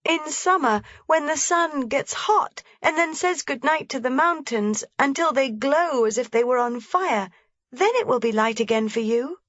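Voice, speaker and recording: A woman's voice: animated, a little slow, a bit bright, no room sound. A woman voicing an eager, anxious little mountain girl in a storybook reading. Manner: high, eager, pleading. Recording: slightly dull, dry lo-fi recording.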